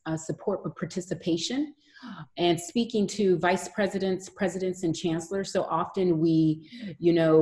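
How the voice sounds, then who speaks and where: A candid woman speaks low for a woman, sharing her panel remarks with frequent "you know"s. She comes through over a video call. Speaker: webinar panelist, doctor.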